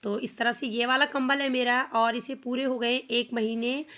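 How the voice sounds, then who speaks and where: A young woman with a North Indian accent and a hoarse voice from a cold, casually explaining a household chore step by step for her home vlog. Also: fairly high pitch, little pitch movement, moderate pace, fairly bright tone, dull audio. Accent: Hindi-speaking North Indian. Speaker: home vlogger. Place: home, by a washing machine outdoors.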